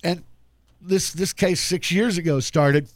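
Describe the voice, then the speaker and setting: A man's voice: high-pitched, unhurried, dry recording. A man hosting a radio talk show, reading news in a folksy, chatty way and stumbling now and then over words.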